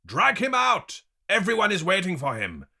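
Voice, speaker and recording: Man acting a snarling, taunting male captor, forceful. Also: sits low, expressive, slow pace, heavily acted. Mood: snarling, commanding. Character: cruel, taunting male captor, commanding and sneering.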